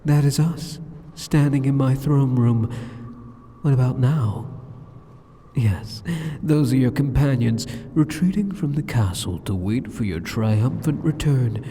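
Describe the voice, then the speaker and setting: A man's voice: mid-range voice, slow pace, dark tone, breathy edge. A man voicing an arrogant, sadistic demon king in an ASMR roleplay, with a mocking, condescending and teasing manner. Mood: gloating. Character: sadistic, arrogant male demon king taunting a captive hero. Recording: long cavernous hall reverb.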